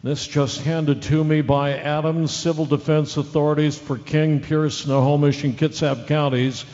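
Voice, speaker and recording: A man's voice: fairly high pitch, little pitch movement, a little mellow, close to an everyday voice. A man playing an earnest, hesitant radio newsman delivering breaking news in an audio drama. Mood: grave. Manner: halting, careful, apologetic. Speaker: news reader. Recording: dull lo-fi audio with long reverb.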